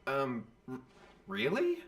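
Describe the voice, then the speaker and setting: A man's voice: a little high, huge pitch swings, slow pace, vocal fry, a bit bright, faint music behind, heavily acted. A man playing an anxious young commoner in a video game, speaking in an earnest, deferential, pleading manner.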